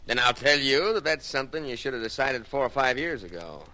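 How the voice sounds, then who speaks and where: Tough backwoods logger: bright forceful voice, wide swings, blunt and folksy, old radio hiss. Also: male voice, medium pitch, lively intonation, fairly quick. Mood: quietly threatening. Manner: blunt, folksy, menacing understatement. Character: tough, determined young logger, a protective brother. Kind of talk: old-time radio drama acting. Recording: faintly noisy old radio recording.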